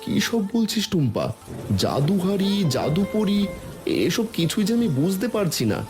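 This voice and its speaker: A man's voice: high voice, very animated, normal speed, over loud music. A man voicing a hapless, bewildered village father in a cartoon, with a plaintive manner. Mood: resigned, puzzled. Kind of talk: cartoon voice acting.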